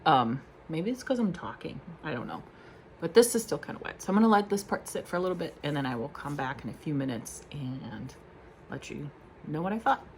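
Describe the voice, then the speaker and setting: Low-voiced chatty woman vlogger. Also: lively intonation, a little fast, a little hiss or hum. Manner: rambling, chatty, self-deprecating with many fillers. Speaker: beauty vlogger. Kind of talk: casual chat to camera.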